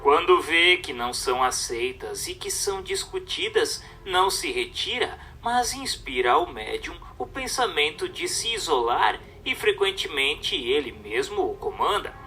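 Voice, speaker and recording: A man reading a scripted text aloud as a narrator, in a formal, didactic and expressive manner. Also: a little high, measured pace, hardly any pauses, far from the mic, soft background music.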